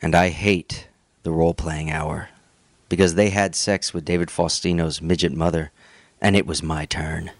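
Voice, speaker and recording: Man posing as disgruntled male celebrity, deep pitch, deadpan, spoof radio ident, very dry sound. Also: normal speed, dry recording.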